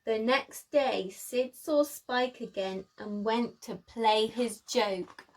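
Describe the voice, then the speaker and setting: A woman's voice: on the high side, takes it slowly, darkish tone, poor audio. A woman preschool teacher reading a story aloud, speaking expressively in simple phrases to small children.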